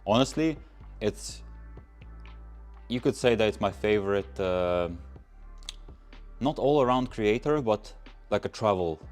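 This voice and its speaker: A young Latvian man with a very low voice answers viewer questions in loose, rambling English full of restarts. Faint music plays behind him. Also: lively intonation, measured pace, pauses often, darkish tone. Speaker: car channel host. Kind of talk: casual livestream Q&A reading chat.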